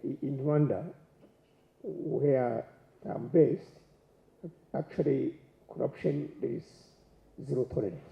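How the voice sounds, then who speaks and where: A man's voice: medium pitch, slow pace, creaky, long pauses. A man from Tanzania speaking as a conference panelist, hesitant and searching for words. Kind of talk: panel discussion talk. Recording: echoey hall, dull sound.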